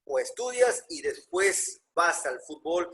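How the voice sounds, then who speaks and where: A man's voice: sits high, expressive, slow, bright, forceful tone, slightly rough. An older man, hosting a casual interview with chatty, theatrical questions.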